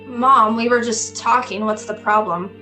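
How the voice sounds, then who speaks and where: A woman's voice: on the high side, steady tone, measured pace, over music, natural voice. A woman playing a frustrated, sardonic young woman in drama dialogue. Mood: casual.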